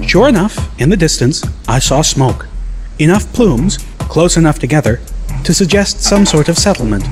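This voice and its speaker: A man reading a first-person scripted story as an audiobook narrator. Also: on the high side.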